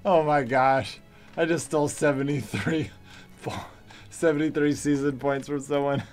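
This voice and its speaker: A man's voice: on the high side, fairly even intonation, takes it slowly, long pauses, faint music behind. A man in his thirties hosting a gaming channel, chatting playfully while he plays. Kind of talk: casual gameplay commentary. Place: home recording room.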